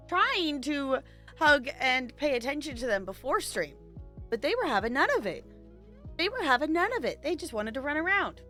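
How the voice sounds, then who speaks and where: A woman with a bright, forward voice pitched a little high, talking like a game streamer to viewers. The delivery is chatty and loosely rambling, with playful digs at oneself, over some background music. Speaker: video game live streamer. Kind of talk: casual livestream chat, answering viewers. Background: music behind the voice.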